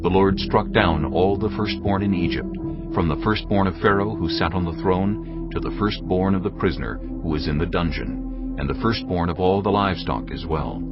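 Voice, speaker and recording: A man reads scripture narration in a steady, deliberate manner. His voice is very deep. Music plays underneath on a somewhat dull recording. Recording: dull audio over music.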